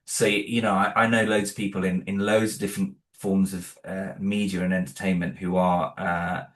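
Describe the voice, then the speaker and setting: A man with a very low voice talks casually about his own creative work. He is self-deprecating and hesitant and stumbles over his words. His phrases trail off and restart. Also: level tone, moderate pace, fairly bright tone. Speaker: audio drama creator, interview guest. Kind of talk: casual interview conversation.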